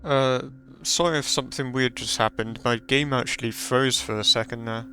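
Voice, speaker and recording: A man's voice: mid-range voice, steady tone, moderate pace, heavy background noise. A man giving casual gameplay commentary in a wry, rambling way. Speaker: gamer commentator.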